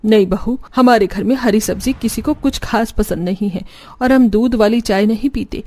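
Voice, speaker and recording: A woman voicing a middle-aged mother-in-law in a cartoon story, brisk and no-nonsense, handing out orders in homely, scolding talk. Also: on the high side, dark tone, close to an everyday voice. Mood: firm, scolding. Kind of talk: Hindi cartoon story acting.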